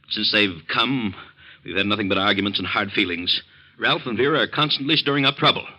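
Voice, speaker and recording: A man's voice: lowish voice, brisk, pushed and bright, poor audio. A man playing a crabby, stubborn middle-aged rancher in a 1940s radio drama, speaking in a curt, complaining way. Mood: stern, unyielding.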